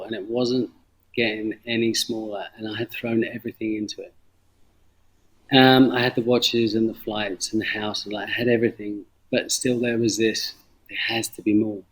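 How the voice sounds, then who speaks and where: A middle-aged man with a London English accent tells his own story reflectively in an interview, halting and repeating phrases for emphasis. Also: fairly low pitch, steady tone, poor audio. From London, England. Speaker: interview guest, former banker turned coffee farmer. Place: remote video call from Indonesia.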